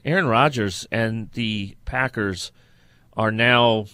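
A man's voice: fairly low pitch, slow, bright, forceful tone, smooth, dry recording, clean studio sound. A man co-hosting a sports podcast, giving blunt, opinionated takes on football in a conversational style.

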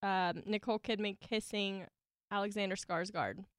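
A woman's voice: mid-range voice, fairly even intonation, moderate pace, a bit bright, no echo. A woman hosting a podcast call-in segment, chatting bubbly and laughing often into a studio microphone. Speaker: podcast host. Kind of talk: casual call-in chat.

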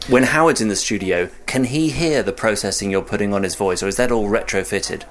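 A man hosting a radio industry podcast, asking guests measured, direct questions. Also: lowish voice, fairly bright tone. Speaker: podcast host. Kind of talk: interviewing guests.

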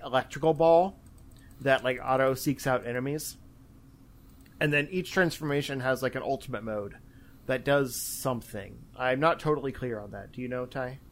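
A man chatting casually about video games as a podcast host, in a rambling, hesitant way. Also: mid-range voice.

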